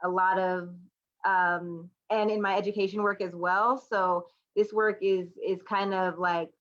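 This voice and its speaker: A woman's voice: medium pitch, level tone, measured pace, highs cut off. A woman reflecting casually and off the cuff as a panelist, informal and enthusiastic. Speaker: artist and educator, panelist.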